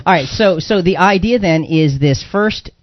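A man's voice: high voice, normal speed, muffled recording. A man hosting a live radio Bible discussion, talking conversationally as he recaps points and puts questions to a guest. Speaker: radio show host. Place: radio studio.

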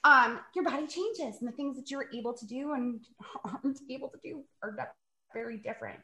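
A woman's voice: high voice, brisk, pushed and bright. A woman in her forties in a casual podcast chat, telling stories in a chatty way. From New York. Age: 40s. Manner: chatty storytelling, laughs while acting out quotes. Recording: echoey room.

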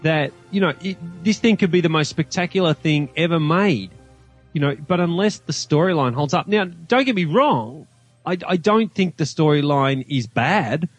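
A man's voice: a little high, lively intonation, moderate pace, breathy edge, dry recording, faint music behind. A man with an Australian accent giving his opinions in a casual film discussion, emphatic and reasoning aloud as he goes. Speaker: podcast guest reviewing a film.

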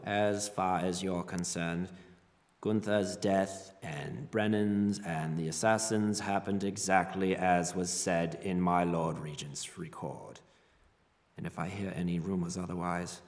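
An actor playing a cruel young fantasy lord, menacing, deliberate and contemptuous. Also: male voice, sits low, in a large hall. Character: cruel, sneering young lord threatening his captives. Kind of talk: fantasy audio drama acting.

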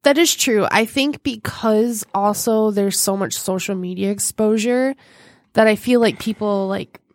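A woman's voice: mid-range voice, unhurried, dry recording. A woman with a stuffed-up, congested voice, co-hosting a talk show in casual, joking conversation. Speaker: radio or podcast host.

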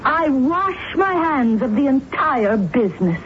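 Radio drama role: haughty scolding middle-aged woman, highish voice, theatrical, thin telephone-like vintage broadcast. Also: big pitch swings, slow pace, heavily acted. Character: haughty middle-aged actress ex-wife, imperious and sharp-tongued. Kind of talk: 1970s radio drama acting. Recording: tinny, band-limited old radio, hiss.

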